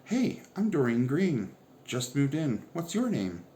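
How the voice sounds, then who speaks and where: A man's voice: lowish voice, big pitch swings, fairly quick, darkish tone, slight background noise. A man voicing an eager, talkative teenage girl in a storybook reading, firing off bubbly questions. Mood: excited.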